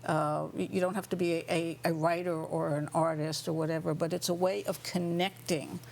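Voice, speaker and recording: Female interview guest, low gravelly voice, slow and reflective, faint noise underneath. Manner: slow and thoughtful, many fillers. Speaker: guest artist and writer.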